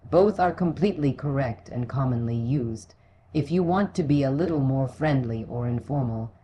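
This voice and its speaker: A British woman with a very deep, mellow voice narrates a scripted language lesson. She speaks in measured, clearly phrased sentences with an encouraging manner. Some background noise sits behind her voice. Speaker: English teacher.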